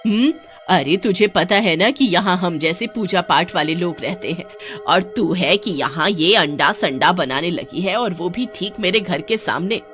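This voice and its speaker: A harsh old neighbor woman, voiced by a woman, spits threats in a loud, scolding voice, pitched a little lower than her usual. This is a cartoon story, with faint music underneath. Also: expressive, moderate pace. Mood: angry. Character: spiteful older neighbor woman, quarrelsome.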